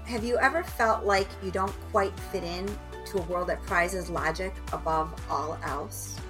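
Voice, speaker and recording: A woman's voice: fairly low pitch, measured pace, music in the background. A woman hosting a podcast, an encouraging interviewer who asks questions and gives short affirmations. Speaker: podcast host.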